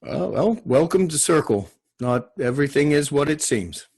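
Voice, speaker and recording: A man's voice: on the high side, expressive, normal speed, a little mellow, slightly rough, voice pushed up. A man reading the part of an easygoing, hospitable small-town man in a TV drama, casual and folksy. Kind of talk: table read of TV drama script.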